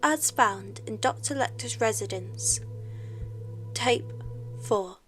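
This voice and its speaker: A young woman reading formal, deliberate scripted narration for an audio drama, like an official report. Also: on the high side, a bit bright, soft background music.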